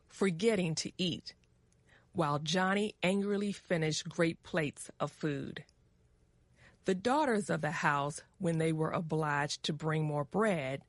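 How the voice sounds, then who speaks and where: A woman narrating a scripted story for English learners, measured and carefully articulated. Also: sits low, no room sound.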